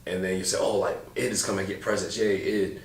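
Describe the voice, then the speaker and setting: A deep-voiced man chats in a loose, slangy podcast style, full of fillers and asides. He sits a little back from the mic in a roomy-sounding space. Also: steady tone, fast talker. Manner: laid-back, slangy, rambling with many fillers. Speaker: podcast co-host. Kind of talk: casual chat. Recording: roomy, a bit far from mic.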